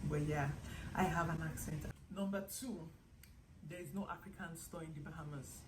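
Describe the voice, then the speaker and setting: A young Nigerian woman talks straight to camera in a relaxed, chatty way, with a slightly low voice. She tells her stories with animation and slips into quick acted-out quotes. The room around her is echoey, with a faint hiss of noise behind. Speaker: vlogger. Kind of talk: casual vlog monologue to camera.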